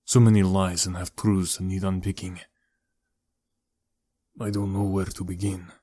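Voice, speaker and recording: A man playing a grave, weary, aging uncle in an audiobook, protective and regretful, with a subdued, measured delivery. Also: low voice, little pitch movement, mellow, dry recording, studio quality. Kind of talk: audiobook character dialogue.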